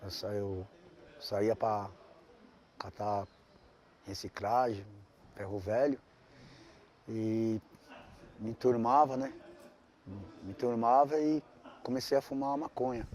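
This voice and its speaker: A man tells his life story in a documentary interview. He speaks haltingly, often restarts his phrases and ends many of them with tag questions. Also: fairly low pitch, steady tone, a little mellow. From São Paulo, Brazil. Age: 40s.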